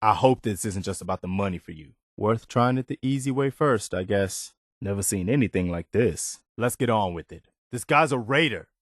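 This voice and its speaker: An actor playing a principled, loyal and weary young militia soldier in a video game, speaking sincerely and plainly. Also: male voice, fairly low pitch, animated, normal speed, few pauses, dry recording, clean studio sound. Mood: wary, disapproving. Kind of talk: video game companion dialogue.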